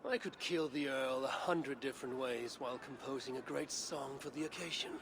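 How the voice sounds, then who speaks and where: A man's voice: mid-range voice, fairly even intonation, moderate pace, background noise, close to an everyday voice. An actor playing a bold, self-assured male Viking warrior in a video game, speaking tersely in formal, archaic phrases. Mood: confident. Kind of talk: dramatic video game dialogue.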